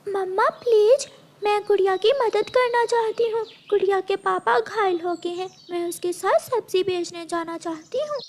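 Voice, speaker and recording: A woman's voice: very high-pitched, moderate pace, darkish tone, a little hiss or hum. A woman voicing an eager, helpful little girl bird in a children's cartoon, with chirping birds behind. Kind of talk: Hindi children's cartoon dialogue. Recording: chirping birds and faint background ambience.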